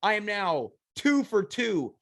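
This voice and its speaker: A man's voice: high voice, sing-song, takes it slowly, a bit bright. A man hosting a casual comedy talk show, joking and rambling playfully while congested with a cold. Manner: playful, rambling, self-mocking jokes.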